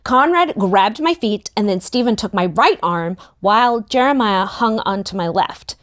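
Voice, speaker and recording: A woman narrating a first-person story in an expressive, youthful storytelling manner. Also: medium pitch, normal speed. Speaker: narrator in a book table read.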